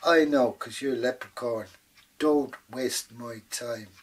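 Man playing a stubborn woman, bossy, blunt, gold-hungry, folktale character, mid-pitched, distant mic. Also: slow.

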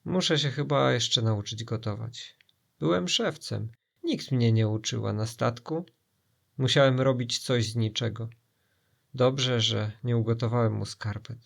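A man's voice: medium pitch, unhurried, gravelly, a bit bright. A man playing a sad, worried ship's cook in a storybook, muttering to himself. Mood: glum.

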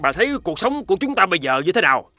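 An actor with a southern Vietnamese accent playing a vain, greedy, scheming rich man in an animated folktale, haughty and grumbling. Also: high-pitched, very fast, no echo, clean studio sound, full character voice. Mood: envious questioning.

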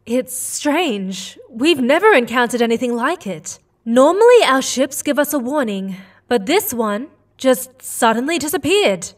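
Woman playing a high-voiced worried space mother. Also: big pitch swings, measured pace, far from the mic. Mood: puzzled, concerned. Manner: earnest, insistent. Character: worried, determined alien mother on a space mission. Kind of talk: sci-fi audio drama acting.

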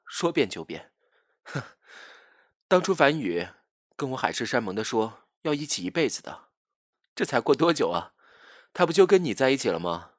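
A man's voice: mid-range voice, dry recording, studio quality, lightly acted. A man playing a jealous young ex-boyfriend with insincere sweetness and a pointed, theatrical delivery in an audio drama. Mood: bitter, jealous. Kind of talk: Chinese audio drama acting.

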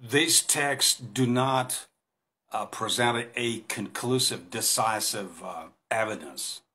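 A man's voice: medium pitch, takes it slowly, pushed and bright. Casting: Russian-accented man in his fifties, hesitant Bible teacher speaking to a phone in a small room. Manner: hesitant, many fillers and restarts, gestures while talking. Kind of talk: informal recorded Bible talk. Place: small indoor room against a plain wall. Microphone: phone camera at arm's length.

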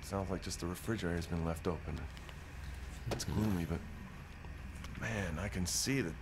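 An actor playing a gritty male cop reporting tersely and haltingly from a crime scene in an audio drama. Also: low-pitched, noisy background. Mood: wary. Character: gritty male cop radioing in from a wrecked house. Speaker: police investigator in an audio drama game. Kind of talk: radio drama acting, field report.

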